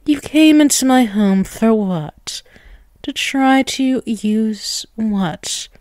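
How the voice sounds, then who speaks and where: Lady acting as a dominant vampire woman, slow teasing condescending asmr roleplay, really dry close sound. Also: fairly high pitch, lively intonation, a little mellow, no echo. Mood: mocking, menacing. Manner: slow, condescending, teasing, with drawn-out words and chuckles. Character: dominant, taunting ageless vampiress toying with a captive human.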